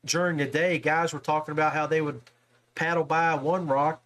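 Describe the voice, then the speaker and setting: A man's voice: a little high, level tone, normal speed, a bit bright, a little hiss or hum. A man with an American accent chatting casually as the host of a podcast. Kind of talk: casual chat.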